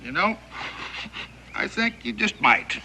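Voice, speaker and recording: A man's voice: high-pitched, very animated, takes it slowly, gravelly, bright, forceful tone, a little hiss or hum, exaggerated voice, low-quality recording. An actor playing a folksy frontier showman in a TV western, spinning tall tales in a grandiloquent, playful way. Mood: wry. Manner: grandiloquent storyteller. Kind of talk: TV western acting.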